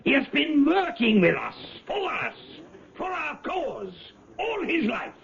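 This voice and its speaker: An actor playing a fanatical nationalist demagogue in a 1970s radio drama, rallying followers with declamatory, fervent oratory. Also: male voice, very high voice, very animated, takes it slowly, gravelly, a bit bright, full character voice. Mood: fervent, reverent. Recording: slightly dull old radio broadcast.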